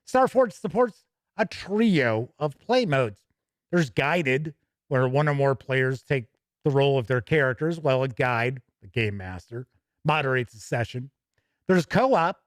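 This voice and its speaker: A man's voice: fairly high pitch, no echo. A man hosting a tabletop gaming news show, chatting casually between read-out product copy, with self-correcting, rambling asides.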